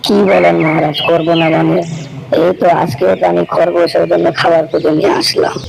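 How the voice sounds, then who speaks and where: A man's voice: fairly high pitch, flat delivery, fairly quick, creaky, rough recording. A man playing a sly, scheming fox in a cartoon, a glib and bossy big brother. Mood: eager, fawning. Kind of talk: cartoon dialogue.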